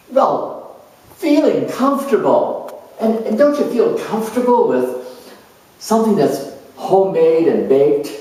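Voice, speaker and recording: Casting: male performer as fussy, anxious homemaker mother, high swooping voice, proud cosy hostess, dramatized monologue, very echoey room. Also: a little mellow, full character voice. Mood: fretful. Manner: effusive, cosy, hesitant and confiding. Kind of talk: solo character dramatization for an astrology talk. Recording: very echoey room, distant microphone.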